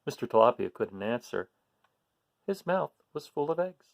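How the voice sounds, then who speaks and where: A man hosting a children's read-aloud, reading a storybook expressively with casual, curious, gently explanatory asides. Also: medium pitch, sing-song, moderate pace, gravelly.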